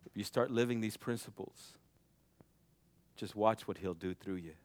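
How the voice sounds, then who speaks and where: A young male preacher with a smooth, resonant voice, recorded close and dry. He climbs to soaring, almost shouted peaks of exhortation, then drops to a low, hushed, intimate tone to drive his point home. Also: moderate pace, dry recording. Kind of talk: sermon.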